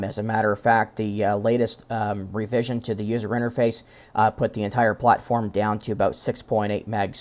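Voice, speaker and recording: Halting male presenter, informal technical talk. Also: medium pitch, a little mellow. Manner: halting, hesitant phrasing with frequent pauses and repeated words. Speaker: conference presenter.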